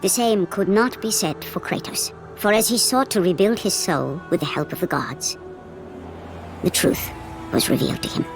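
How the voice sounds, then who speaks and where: An actress as a grave storyteller, narrating an ancient myth in a video game with measured, solemn drama. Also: female voice, medium pitch, expressive, soft background music, lightly acted.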